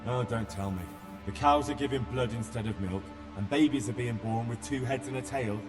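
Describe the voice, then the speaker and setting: Casting: actor as skeptical young medieval game hero, mid-pitched male, dry and wry questioning, music clearly behind. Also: moderate pace, natural voice. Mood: sardonic. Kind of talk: dramatic video game acting.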